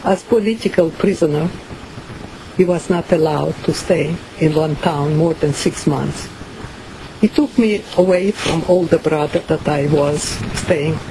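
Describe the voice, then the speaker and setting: An older woman from Ukraine with a Ukrainian accent, giving slow, halting personal testimony full of fillers and pauses. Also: sits low, background noise. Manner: many pauses and fillers, non-native phrasing. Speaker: survivor giving a talk.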